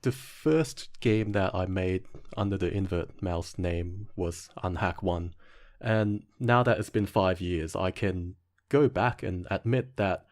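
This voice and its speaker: A man's voice: deep voice, fairly even intonation, slow, darkish tone. A man speaking as a podcast guest and game developer, discussing his views in a casual, reflective style.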